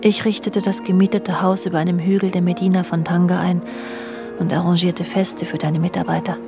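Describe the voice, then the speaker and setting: A woman's voice: fairly low pitch, level tone, a little fast, gravelly. An actress in a German radio drama playing a worldly wife who confides in her husband about their restless, jealous marriage. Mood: wistful, reflective. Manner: literary, confiding, addressing her husband directly. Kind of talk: German radio drama, intimate narration and dialogue. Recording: music behind the voice. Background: music.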